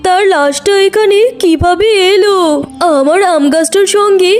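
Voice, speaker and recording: A woman's voice: very high-pitched, unhurried, darkish tone. A woman voicing a startled, indignant tigress housewife who exclaims and questions in a children's cartoon. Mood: startled, puzzled. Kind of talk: animated children's story dialogue. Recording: music behind.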